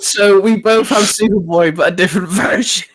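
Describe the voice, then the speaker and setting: A man with a slightly high voice talks casually as a geek-show co-host. He tells things in an animated, slangy way, padding sentences with fillers as he explains his favorite subjects. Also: normal speed. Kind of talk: casual fan chat.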